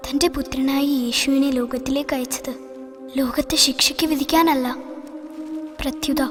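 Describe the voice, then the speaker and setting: A high, soft actress's voice as a pious teenage girl in a cartoon, with a Malayali accent. She speaks tenderly and earnestly, with deliberate phrasing, over clear music. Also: fairly even intonation, close to an everyday voice. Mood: earnest, pained. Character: devout, tender, earnest fourteen-year-old girl.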